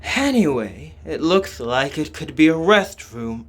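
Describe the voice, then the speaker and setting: A man reading a game script aloud dramatically, with slow, deliberate, halting delivery. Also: fairly high pitch, very animated, noisy background. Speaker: fan voice-over reader.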